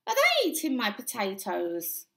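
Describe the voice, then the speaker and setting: A woman's voice: a little high, wildly swooping pitch, measured pace, vocal fry, bright, forceful tone, roomy sound, full character voice. A woman voicing an eager, fussy but cheerful young boy in a children's storybook, with childlike exclaiming delivery. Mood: curious.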